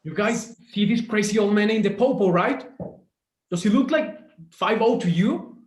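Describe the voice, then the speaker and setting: A man plays a young street thug in a drama read-through, high-pitched and echoing in a roomy space. He is cocky and belligerent, throwing out taunts in street slang. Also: normal speed, lightly acted. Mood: indignant, pleading. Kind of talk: screenplay table read acting. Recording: roomy, echoing home recording.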